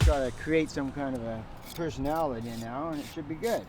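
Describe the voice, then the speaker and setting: A man's voice: fairly high pitch, fairly quick. A man working as a sand sculptor, chatting casually in short remarks as he works. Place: outdoors. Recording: loud music, outdoor vehicle sounds.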